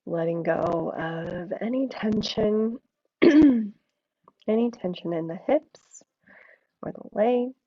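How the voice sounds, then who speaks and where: A woman teaching a yin yoga class, hoarse and froggy from an allergy attack, giving slow, soothing cues. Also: mid-range voice, expressive, dry recording, muffled recording. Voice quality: gravelly, froggy voice. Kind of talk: guided yoga instruction.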